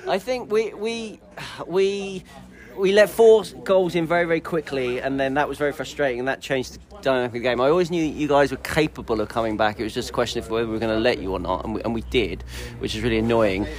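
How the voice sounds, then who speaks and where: A man's voice: medium pitch, fast. Male player, mid-range voice, casual and a little hesitant, post-match interview. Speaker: amateur footballer.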